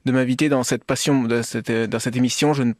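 A man from Paris with a French accent speaks as an interview guest. He is earnest and halting, doubling back on words, in a mid-pitched voice with a very dry studio sound. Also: fairly even intonation, dry recording. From Paris, France. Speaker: radio guest, amateur trail runner. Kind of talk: personal interview testimony. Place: radio studio. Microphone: studio microphone.

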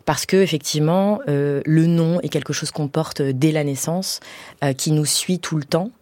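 A woman answering as a guest in a reflective radio interview, with halting, searching phrasing. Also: sits low, darkish tone. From France, of Iranian family. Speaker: guest, playwright and stage director.

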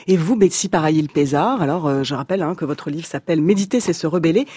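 A woman hosting a radio interview, asking her guests questions in a brisk, conversational way. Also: low-pitched, warm tone, breathy edge, no room sound, studio quality. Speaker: radio host. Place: radio studio.

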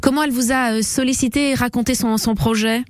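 A woman's voice: on the high side, steady tone, fast talker, slight background noise. A woman hosting a radio interview in a studio, introducing her guest with a few hesitations. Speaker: radio host.

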